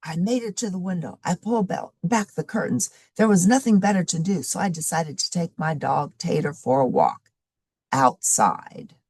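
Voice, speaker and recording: A woman voicing a laid-back, amusing couch potato who tells his story in a dramatic, expressive storybook manner. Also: fairly low pitch, normal speed, slightly rough, dry recording, studio quality.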